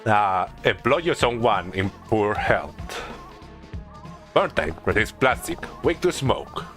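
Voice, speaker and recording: A man giving casual video game commentary as a streamer, haltingly thinking aloud and often repeating himself. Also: fairly low pitch, big pitch swings, a bit bright, soft background music.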